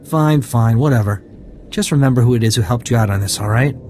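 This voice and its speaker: An actor playing an aggrieved, shifty informant in a video game, whining and wheedling for payment. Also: male voice, medium pitch, brisk, warm tone, slight background noise, natural voice. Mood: grudging. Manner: whiny, indignant, wheedling.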